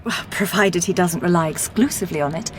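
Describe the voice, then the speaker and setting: A woman's voice: on the low side, natural voice. Woman playing shrewd, composed Victorian lady detective. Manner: measured, probing, dryly questioning. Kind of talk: period radio drama acting. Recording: clear background noise.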